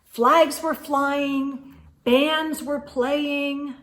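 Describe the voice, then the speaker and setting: A woman's voice: high voice, steady tone, very slow, fairly bright tone. A woman reading a children's storybook aloud as host, expressively and with emphasis, speaking directly to young listeners.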